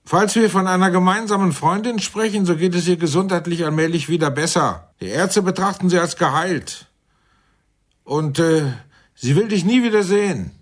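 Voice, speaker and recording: A gruff intelligence boss in a radio play. He speaks in a rather high voice, with a scolding, sardonic edge, like a superior dressing down his agent. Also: normal speed. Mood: guarded, blunt. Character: gruff, reproachful male Israeli intelligence chief on the telephone. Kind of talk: German radio drama acting.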